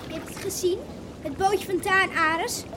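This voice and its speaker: A woman's voice: extremely high pitch, steady tone, very noisy. An actress playing an anxious, devoted young woman caught in a flood in a radio drama, speaking with dramatic, urgent distress. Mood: alarmed, urgent. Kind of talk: Dutch radio play acting. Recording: radio play over loud rushing water.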